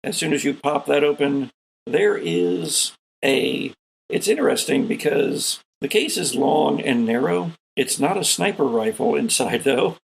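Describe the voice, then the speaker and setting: A man acting as game master, narrating an improvised tabletop game in a measured, halting explanatory way. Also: fairly high pitch, vocal fry, husky, rough recording.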